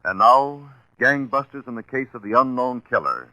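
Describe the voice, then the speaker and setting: A man's voice: mid-range voice, lively intonation, moderate pace, bright, forceful tone, no room sound, low-quality recording. A man announcing and reading commercials on 1940s radio in an emphatic, salesmanlike way. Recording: vintage 1940s radio broadcast.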